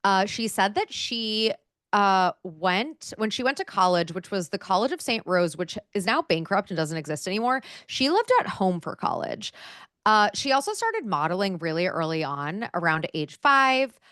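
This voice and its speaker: A woman's voice: medium pitch, animated, normal speed, a bit bright, no room sound. Chatty woman in her thirties, dry close mic. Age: 30s. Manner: chatty, filler-heavy, with frequent "um" and "like". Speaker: podcast co-host. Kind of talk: casual podcast chat, reading researched notes.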